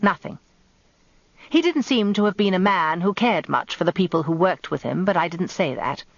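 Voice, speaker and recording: A woman's voice: fairly low pitch, animated, normal speed, dry recording. An actress with an English accent playing an observant, wry lawyer's wife turned amateur detective, confiding her story in a radio drama. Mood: wry, musing. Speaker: narrator. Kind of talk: first-person radio drama narration. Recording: dull lo-fi radio recording.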